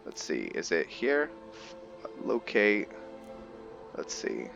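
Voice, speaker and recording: Slow, deep-voiced man gaming. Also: wildly swooping pitch, gravelly, fairly bright tone, lo-fi sound, soft background music. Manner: rambling, thinking aloud with many ums. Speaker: gaming video creator. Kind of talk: casual let's-play commentary.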